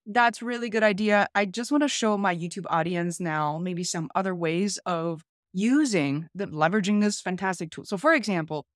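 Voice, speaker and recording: A woman's voice: on the low side, normal speed, few pauses, dry recording. Chatty woman host, dry close sound. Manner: chatty, thinking aloud. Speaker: host demonstrating an app. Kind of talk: casual chat to camera and phone. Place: small home room, indoors.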